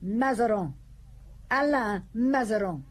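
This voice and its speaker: An actress playing a stiff-necked housekeeper of seventy-one in an audio drama, speaking in a clipped, formal, disapproving way. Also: female voice, fairly high pitch, expressive, takes it slowly. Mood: curt, guarded. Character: stiff-necked elderly female housekeeper, 71, prim and guarded.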